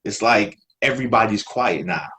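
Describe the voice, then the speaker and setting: A man's voice: lowish voice, moderate pace, bright, forceful tone. A man as a podcast guest in casual conversation, telling stories in an informal, slangy way and acting out quoted dialogue. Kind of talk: casual storytelling chat.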